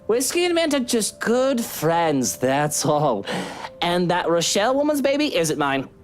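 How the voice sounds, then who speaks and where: An actor playing a very drunk, maudlin husband in a visual novel, slurring and rambling melodramatically. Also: male voice, high-pitched, sing-song, unhurried, slight background noise. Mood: drunken, aggrieved. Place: studio game voice track with music behind.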